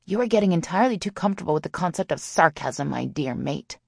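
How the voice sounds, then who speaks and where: Audiobook role: cheeky sarcastic teen girl, voiced by a woman, low-toned, fry at the edges, dry close sound, mock-grand teasing. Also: expressive, quick pace, no echo, heavily acted. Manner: wordy, teasing, mock-haughty. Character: brash, sarcastic, mischievous Southern teenage girl.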